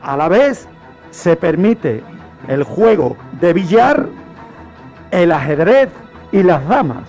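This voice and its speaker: Male radio storyteller, Castilian Spanish accent, high-pitched, unhurried and explanatory, pausing deliberately. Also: sing-song, darkish tone, soft background music. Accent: Spanish, from Spain. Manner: explanatory, rhetorical questions, deliberate pauses. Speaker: radio show host. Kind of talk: history storytelling, semi-improvised radio talk.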